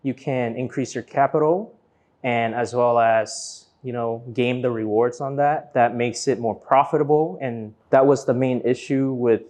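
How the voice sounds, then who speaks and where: A man from Vietnam, raised partly in Florida, answers as an interview guest. His voice sits at a medium pitch. He talks casually and lays out his explanations one step at a time. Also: fairly even intonation, unhurried, darkish tone. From born in Vietnam, grew up in Florida from age nine. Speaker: interview guest, business owner.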